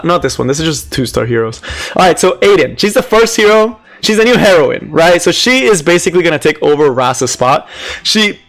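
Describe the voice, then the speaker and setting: Raspy-voiced man, chatty game streamer. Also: a little high. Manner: chatty, answers chat, many "like" fillers; deliberately subdued, near monotone. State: raspy, strained voice. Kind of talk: casual live-stream patch review.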